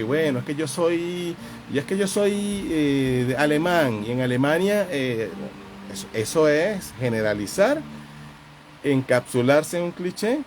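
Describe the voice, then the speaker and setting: A man gives an informal live talk in the role of a counselor. His mid-pitched voice moves in a halting, reflective way, circling back over phrases as he explains. A faint noise sits behind him. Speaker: relationship counselor and host.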